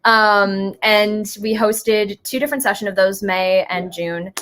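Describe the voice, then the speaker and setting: A woman's voice: medium pitch, steady tone, measured pace, a bit bright. A woman in her early twenties chatting casually as an interview guest, in youthful, slangy speech. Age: early 20s. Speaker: interview guest, musical writer. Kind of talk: casual chat.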